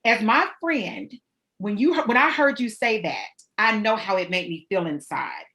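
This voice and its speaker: A woman's voice: mid-range voice, lively intonation, a little slow, sharp tone. A woman hosting an interview, affirming her guest with short responses and asking questions. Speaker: host. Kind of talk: interview conversation.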